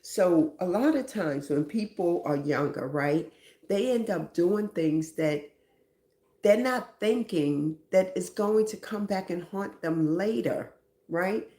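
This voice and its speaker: A woman's voice: on the low side, expressive, takes it slowly, dark tone, roomy sound. A woman hosting a live stream, giving reflective motivational talk and often asking "right?".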